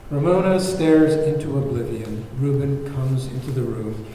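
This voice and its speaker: A man narrates screenplay stage directions in a mid-pitched, mellow voice. He is distant from the mic in a very echoey hall, with faint noise behind. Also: expressive, moderate pace.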